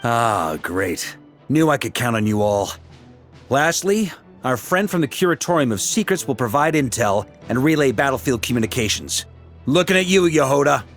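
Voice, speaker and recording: Casting: male actor for middle-aged knight leader in a game, confident and laid-back, animated delivery. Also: mid-range voice, normal speed, soft background music, natural voice. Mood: commanding. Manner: breezy, commanding. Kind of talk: video game voice acting.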